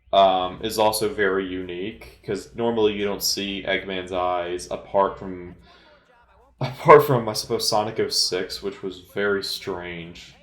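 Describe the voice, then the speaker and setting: Low-voiced man, halting, in an echoey room. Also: fairly bright tone. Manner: hesitant, halting delivery with trailing pauses. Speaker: amateur movie commentator. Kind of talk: casual unscripted film commentary.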